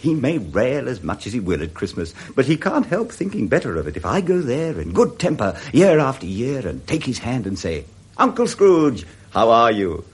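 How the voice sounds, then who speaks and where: An actor with a British accent playing a good-humoured, forgiving Victorian gentleman in a jovial 1960s radio drama style. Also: medium pitch, moderate pace, husky. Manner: jovial, animated, chuckling.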